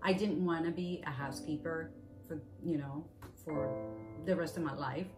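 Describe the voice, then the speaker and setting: A woman's voice: low-pitched, steady tone, moderate pace. A woman from Venezuela whose first language is Spanish, earnestly telling her migration story in long run-on sentences. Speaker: interviewee. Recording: music playing under the voice.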